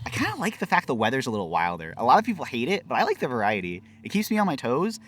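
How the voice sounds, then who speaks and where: A young man from China talking quickly in a casual interview. His voice sits mid-range, and his delivery stays animated and self-mocking as the words tumble out. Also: medium pitch. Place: outdoor festival.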